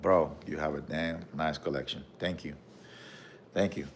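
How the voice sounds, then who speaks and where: A man with a Puerto Rican accent hosting a casual livestream, reading viewer comments and showing off his collection, with a relaxed manner and frequent "bro" and "okay?". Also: very deep voice, measured pace, slight background noise. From Puerto Rico. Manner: relaxed, repeats "bro" and "okay?", many fillers.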